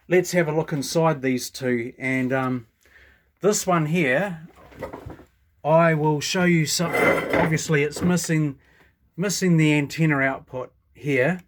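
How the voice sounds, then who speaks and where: A man with a New Zealand accent giving a casual, hands-on show and tell, rambling and thinking aloud as he goes. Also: on the high side. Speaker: hobbyist radio reviewer. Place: indoors at a home workbench.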